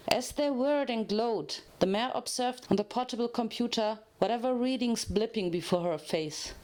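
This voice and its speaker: A woman's voice: on the high side, a little mellow. A woman narrating a story as a dramatic reading.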